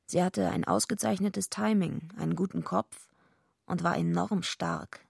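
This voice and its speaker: A woman's voice: fairly low pitch, measured pace, vocal fry, slightly rough, no room sound, studio quality, exaggerated voice. An actress playing a tough, bitter female wrestler who tells her own story brashly in a German radio drama. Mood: bitter, wry. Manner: brash, foul-mouthed. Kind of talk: German radio drama, first-person narration and dialogue.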